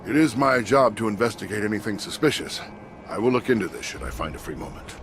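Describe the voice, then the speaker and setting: Low, expressive samurai guard. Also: male voice, fast talker, heavy background noise. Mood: formal, inquisitive. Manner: formal, musing, breathy murmurs rising to firm speech. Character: wistful, formal male samurai moat guard. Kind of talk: video game cutscene acting.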